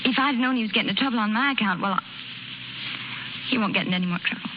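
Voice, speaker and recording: Actress as earnest young circus woman, higher-pitched, halting colloquial delivery, muffled crackly vintage radio drama. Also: natural voice. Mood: hurt, resigned. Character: plucky, self-sacrificing young circus woman. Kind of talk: 1930s radio drama acting. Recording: crackly, muffled 1930s radio broadcast recording.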